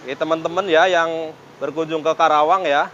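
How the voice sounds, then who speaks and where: A man's voice: a little high, fairly even intonation, moderate pace, a bit bright, dull audio, slight background noise. A man vlogging from a roadside drink stall, chatting casually with the vendor and prompting him with short questions. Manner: chatty, keeps prompting with "ya, Bang?". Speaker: food vlogger interviewing a vendor. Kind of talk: casual street-food interview.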